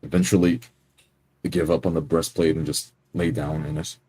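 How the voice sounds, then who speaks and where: A man's voice: extremely low pitch, level tone, moderate pace, warm tone, slightly rough. A man playing a tabletop roleplaying game, tersely narrating his character's actions.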